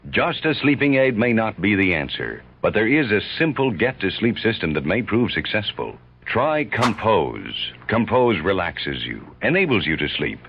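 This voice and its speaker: A man announcing a scripted radio advertisement in a soothing, measured sales manner. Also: fairly low pitch, big pitch swings, slight background noise. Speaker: commercial announcer. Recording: dull old radio recording.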